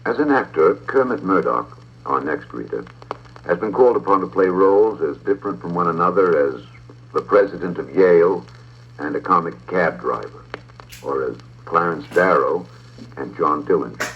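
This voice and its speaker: A man, middle-aged, narrating a script in a measured, formal way with precise diction, on an old talking-book recording. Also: sits low, expressive, husky, highs cut off. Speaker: audiobook narrator and presenter.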